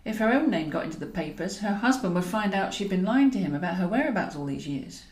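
A British woman reads comic fiction as an audiobook narrator. Her delivery is wry and very animated in pitch. The recording has an audible roomy echo. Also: quick pace. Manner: wry, expressive. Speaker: author and narrator.

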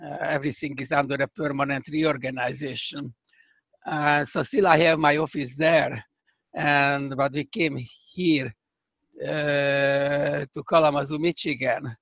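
An older man with a strong Hungarian accent answers interview questions in careful, halting English. His voice sits a little high and has a gravelly fry. The sound is dry and close. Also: fairly even intonation, a bit bright, no echo. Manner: halting, searching for words, many restarts. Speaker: professor guest. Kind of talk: remote interview conversation.

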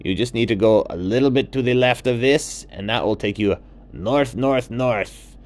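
A man puts on a welcoming storybook toucan. The pitch is lifted well up, and the words are drawn out with courteous, helpful emphasis. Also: moderate pace, close to an everyday voice. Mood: instructive, friendly. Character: welcoming, helpful male Costa Rican toucan. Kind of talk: children's bedtime storybook character.

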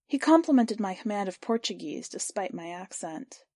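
A woman reading a first-person literary short story aloud for a podcast, in a measured, clear storytelling voice. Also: medium pitch, lively intonation, dark tone, no room sound, clean studio sound. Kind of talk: scripted narration of literary fiction.

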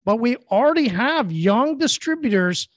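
A man's voice: extremely high pitch, lively intonation, normal speed, dry recording, studio quality. A middle-aged man co-hosting a podcast, talking earnestly in long, rambling, repetitive stretches. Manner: earnest, rambling, repeats himself. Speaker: podcast co-host. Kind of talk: casual motivational talk.

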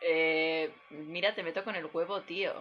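A woman's voice: low-pitched, fairly even intonation, normal speed, muffled recording. A woman co-hosting a casual podcast from her computer, laid-back and wry. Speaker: podcast co-host. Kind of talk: casual chat. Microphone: computer, remote call.

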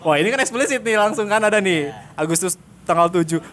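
A young man from Purwakarta, Indonesia, with a notably high voice for a male. He talks as a podcast host in loose, slangy banter. His storytelling is animated and often breaks into giggles. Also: fast. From Purwakarta, West Java, Indonesia. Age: young adult. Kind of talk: casual joking chat.

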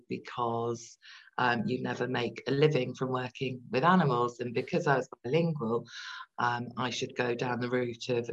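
A woman in her forties, a guest on a podcast interview, talking reflectively with many pauses and restarts. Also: very deep voice, lo-fi sound. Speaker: guest entrepreneur.

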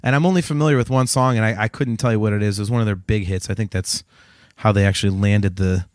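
A man's voice: on the low side, steady tone, fast talker, no echo, studio quality. A man co-hosting a music podcast, chatting in an informal, conversational way. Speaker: podcast co-host. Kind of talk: casual chat about music albums.

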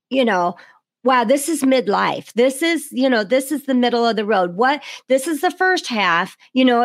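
A woman's voice: a little high, gravelly, dry recording, clean studio sound. A woman in her fifties hosting a podcast interview, chatty and full of "you know"s. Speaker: podcast host.

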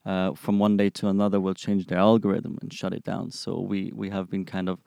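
A man's voice: low voice, little pitch movement, brisk, gravelly, warm tone, no room sound, clean studio sound. A man in his twenties from Germany, answering interview questions conversationally as a guest. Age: 20s. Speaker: interview guest, startup employee.

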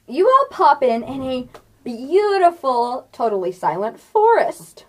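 A woman's voice: sits high, big pitch swings, a little slow. A playful woman improvising narration as game master in a casual tabletop session. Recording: faint background noise.

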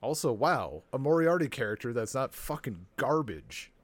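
A man co-hosting a podcast, chatting casually and excitably, with jokes and plenty of swearing. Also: mid-range voice, moderate pace, a little hiss or hum. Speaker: podcast co-host. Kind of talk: casual unscripted chat about a cartoon series.

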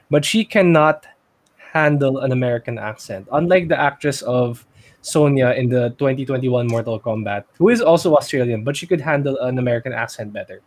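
A man's voice: mid-range voice, fairly quick. A man with a Filipino accent speaking casually as the co-host of a discussion podcast. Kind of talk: casual movie discussion chat.

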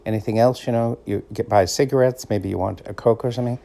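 A middle-aged man with a Boston accent talks through a casual solo podcast. His low, gravelly voice has a mellow, dark tone, and he rambles easily from one thought to the next. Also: fairly even intonation, fast. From Boston area, USA. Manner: meandering, conversational, easygoing storyteller. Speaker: podcast host.

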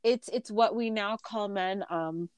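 A woman's voice: mid-range voice, measured pace, a little mellow, smooth, dry recording. Chatty, stuffy-sounding woman podcast host. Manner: chatty, with frequent fillers and laughter. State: stuffy from a recent illness. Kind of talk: casual chat.

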